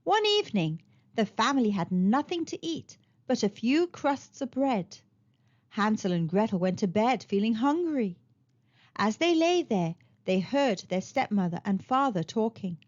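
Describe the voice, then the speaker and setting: High-pitched, animated woman storyteller. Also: measured pace, dull audio. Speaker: children's storybook narrator. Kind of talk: expressive read-aloud storytelling.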